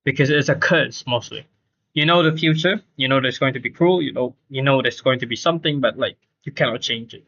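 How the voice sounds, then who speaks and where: A man's voice: mid-range voice, brisk, a bit bright, dull audio. A man streaming a game with casual live commentary, explaining his points emphatically and repeating himself.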